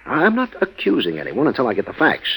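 A man acting a brisk, self-important civic chairman in a 1940s radio drama, with a husky voice and formal, emphatic delivery. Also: medium pitch, wildly swooping pitch, exaggerated voice, poor audio. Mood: indignant, emphatic.

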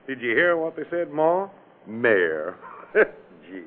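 An actor playing a folksy, falsely modest small-town police chief in an old radio drama, with an aw-shucks, self-effacing manner. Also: male voice, fairly high pitch, very animated, drawn-out words, exaggerated voice. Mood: chuckling, pleased. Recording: tinny band-limited vintage radio recording.

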